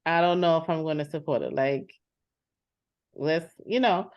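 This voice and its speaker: A woman's voice: low voice, measured pace. A woman playing a practical, frustrated sister in an improvised session over a video call, plain-spoken and insistent. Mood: frustrated, earnest. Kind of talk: improvised role-play dialogue. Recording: slightly muffled video-call audio.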